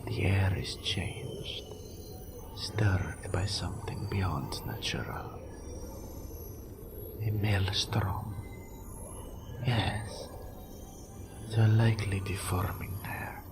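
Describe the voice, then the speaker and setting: A man voicing a proud, mystical male naga oracle in an intimate roleplay audio drama, breathy and deliberate, referring to himself in the third person. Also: over loud music. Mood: entranced, ominous. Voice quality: breathy, whispery, gravelly low voice.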